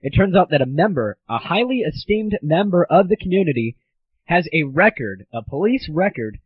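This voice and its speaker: A man hosting a gaming audio show, delivering a belligerent, sarcastic, profane comedic rant in a husky voice. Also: on the high side, sing-song, normal speed, no room sound. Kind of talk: ranting comedic monologue. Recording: dull lo-fi 1990s amateur recording.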